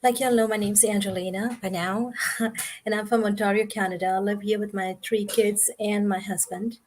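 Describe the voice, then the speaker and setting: A woman's voice: medium pitch, steady tone, normal speed, darkish tone. A woman in her forties from India, a guest on a video call interview, telling her story in an earnest, conversational way. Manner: conversational, earnest, explains at length.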